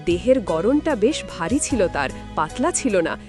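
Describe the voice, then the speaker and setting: A woman's voice: mid-range voice, very animated, normal speed, natural voice. A woman playing an affectionate elderly village grandmother, telling a tale fondly in a dramatised audio story. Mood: fond reminiscing. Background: music.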